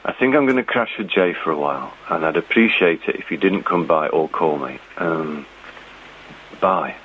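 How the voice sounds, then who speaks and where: A tinny, noisy answering-machine voice of a weary, evasive boyfriend in a radio story. He is low-pitched, laconic and hesitant. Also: animated, normal speed. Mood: awkward, flat. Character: weary, evasive boyfriend leaving awkward phone messages. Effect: telephone answering-machine filter. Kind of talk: radio short-story acting. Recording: tinny, noisy phone message with clicks.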